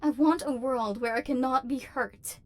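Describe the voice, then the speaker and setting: Robot overlord played by a woman: female, fairly high voice, stiffly formal, embittered yet secretly tender, for ASMR roleplay. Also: fairly even intonation, normal speed, natural voice. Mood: flustered, defiant. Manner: formal, precise, stilted diction with wounded feeling. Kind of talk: scripted ASMR roleplay acting.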